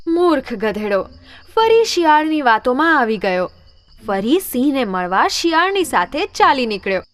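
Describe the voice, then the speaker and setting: Animated high-voiced woman storyteller. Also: normal speed, a little hiss or hum. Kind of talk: scripted children's story narration. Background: background music.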